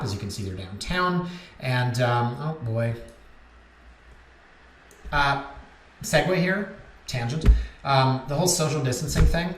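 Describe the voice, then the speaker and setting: Brief: realtor in his thirties, chatty and self-mocking, mid pitch, recorded in a roomy space. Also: a bit bright, a little hiss or hum. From Canada. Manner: chatty, joking, self-deprecating. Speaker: realtor and vlog host. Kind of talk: casual rambling talk to camera. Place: office.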